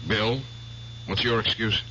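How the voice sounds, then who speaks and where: A bitter, exhausted ex-soldier in a radio play, intense and challenging. His low voice moves slowly with a hard, forceful edge. The old recording is dull. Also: steady tone, a little hiss or hum. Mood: bitter, demanding. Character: bitter, obsessed, exhausted war veteran piano player seeking justice. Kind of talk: 1970s radio drama acting.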